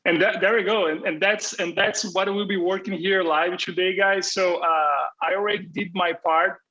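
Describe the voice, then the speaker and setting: Guy with a high bright voice hosting an online panel, chatty and jokey. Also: fairly even intonation, normal speed, muffled recording. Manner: joking, teasing. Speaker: panel presenter, composer. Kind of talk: casual live presentation on a video call. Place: online video call.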